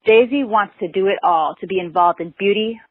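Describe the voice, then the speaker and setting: A woman's voice: mid-range voice, fairly quick, dry recording. Woman, podcast host, playful, reads an intro then chats, tinny phone-like audio, dry sound. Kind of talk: read introduction, then casual questions.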